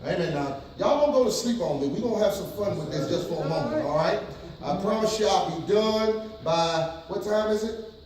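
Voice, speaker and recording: A man's voice: high voice, lively intonation, normal speed, darkish tone. A man from New York City, a pastor giving a casual church workshop to an audience, with many ums and frequent questions to the room. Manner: hesitant, many ums, audience call-and-response. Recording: echoey hall from far off.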